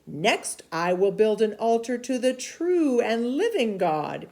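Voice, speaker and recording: A woman's voice: a little high, sing-song, slow, vocal fry, voice pushed up, exaggerated voice. A woman reading as a bold commanding prophet. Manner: emphatic, loud storybook delivery.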